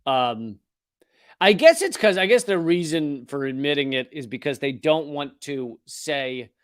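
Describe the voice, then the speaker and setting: A man's voice: fairly high pitch, expressive, measured pace, clean tone, dry recording, studio quality. A man hosting a political comedy show, delivering sardonic, profane commentary with mocking put-on voices.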